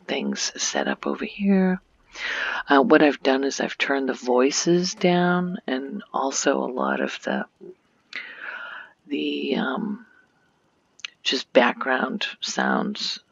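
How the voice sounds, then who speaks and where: A woman giving a soft-spoken ASMR game tour in a gentle, informal, hushed voice that often slips into a whisper, on a muffled home recording. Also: extremely low pitch, sing-song, slow, vocal fry, long pauses, slightly rough, dry recording. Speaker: ASMR video creator and gamer.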